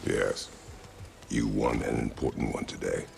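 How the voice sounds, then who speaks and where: Casting: stern, laconic middle-aged war god for game drama; rock-bottom pitch, intense gravel, grave formal delivery. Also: male voice, steady tone, unhurried, darkish tone, faint music behind, full character voice. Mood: grave, approving. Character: stern, stoic middle-aged warrior god of few words. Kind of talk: dramatic video game voice acting.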